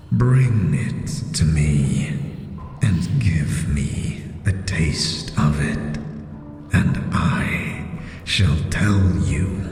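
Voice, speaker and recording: An ancient-sounding cursed stone spirit, solemn and mournful, rumbles extremely low in an audio drama. The words come very slowly, with grave pauses, in a long echoing reverb over music. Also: male voice, heavily acted. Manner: slow, halting, grave delivery with long pauses. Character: cursed stone-faced spirit, solemn, sorrowful former community leader.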